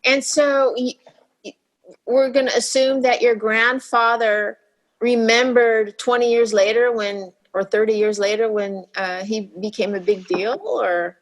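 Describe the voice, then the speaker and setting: A woman's voice: on the high side, steady tone, a little slow, rough recording. A woman hosting a comedy game podcast over a video call, chatty and asking probing questions. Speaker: podcast host.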